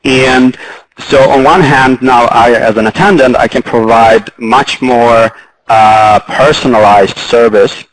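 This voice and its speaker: A man with a Swedish accent speaking as a panelist, halting and full of fillers and restarts. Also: mid-range voice, fairly even intonation, rough recording. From Sweden. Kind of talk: panel discussion.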